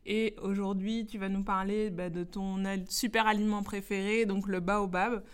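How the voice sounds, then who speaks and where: A woman hosting a podcast interview, upbeat and playful, laughing often and firing off quick questions. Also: medium pitch, little pitch movement, clean tone. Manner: quick-fire quiz questions. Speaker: podcast host interviewing a guest. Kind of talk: casual interview chat.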